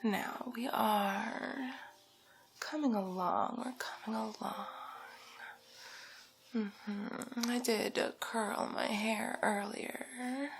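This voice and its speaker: A woman playing a haughty, condescending, luxury-obsessed villainess in an ASMR roleplay, with a drawling, theatrical delivery that shifts between breathy whisper and soft creaky speech. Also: on the high side, slight background noise, heavily acted. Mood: smug, luxuriating. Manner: drawling, theatrical, condescending, calls listener darling.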